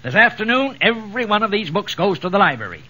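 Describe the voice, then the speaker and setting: An actor playing a vain, blustering, middle-aged American husband in a 1940s radio comedy. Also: male voice, high-pitched, very animated, quick pace, bright, forceful tone, full character voice. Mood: combative. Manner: boastful, flustered, combative. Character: blustering, vain small-town husband and prominent citizen. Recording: muffled, noisy 1940s radio recording.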